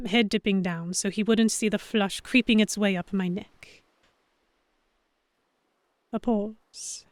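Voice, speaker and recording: A young heroine tells her own pirate romance, acted by a woman in a dry, close recording. Her mid-pitched voice is expressive and flustered, in the style of a dramatic audiobook. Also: no echo, close to an everyday voice. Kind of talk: first-person dramatic audiobook narration.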